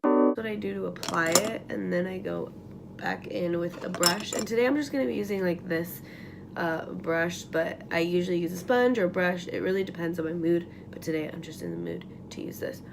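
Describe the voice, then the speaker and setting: A young woman with a raspy morning voice chatting casually through a makeup tutorial at home, full of likes and ums and trailing off into whispers. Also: lowish voice, normal speed, music in the background. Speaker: beauty vlogger. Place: at home, getting ready.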